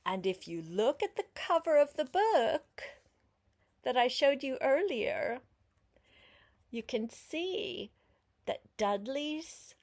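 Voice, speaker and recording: An older woman reading a picture book aloud to a child like a grandmother, unhurried and expressive, pausing to explain words and ask questions. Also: on the high side, vocal fry. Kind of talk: storybook read-aloud with chatty asides to a young listener.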